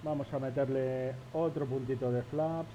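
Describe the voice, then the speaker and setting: A man's voice: medium pitch, fairly even intonation, moderate pace, dark tone, slight background noise, poor audio. A man from Spain with a Spain Spanish accent gives casual, informal running commentary as a pilot flying, muttering to viewers as he works. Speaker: flight simulator pilot narrating.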